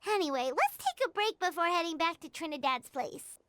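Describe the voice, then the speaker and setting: A woman voicing a small, childlike game companion, excitable and chatty, with a squeaky voice and a fretful streak. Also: brisk, noisy background. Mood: curious, chatty. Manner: refers to self in third person, exclamatory. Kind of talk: video game voice acting.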